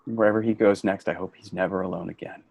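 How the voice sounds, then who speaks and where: A man's voice: low-pitched, fairly even intonation, fast talker, gravelly, dry recording. A man acting in a play reading as an earnest, fed-up male office worker, grieving and sardonic, with an emotional delivery. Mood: solemn, heartfelt. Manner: emotional, swinging from shouting to quiet grief. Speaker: actor in a staged reading.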